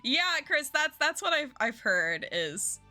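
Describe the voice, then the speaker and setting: Young woman streamer, bubbly and self-mocking, lively swooping delivery, a bit phlegmy from a cold. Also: sits high, slow pace, soft background music. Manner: chatty, giggly, self-deprecating. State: slightly phlegmy, lingering cough. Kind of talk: casual stream chat.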